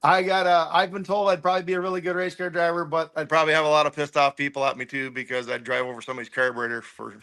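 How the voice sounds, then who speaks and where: Bright-voiced, opinionated middle-aged man. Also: fairly high pitch, fairly even intonation, racing, hardly any pauses. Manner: opinionated, self-deprecating. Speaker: guest, race car crew member. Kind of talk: casual interview chat about racing.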